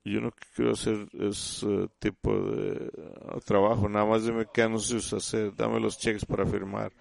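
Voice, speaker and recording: A man giving a sermon in halting speech, often restarting and repeating words as he teaches the congregation. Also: on the low side, level tone, creaky, a little mellow. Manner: addresses listeners as "usted". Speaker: church speaker.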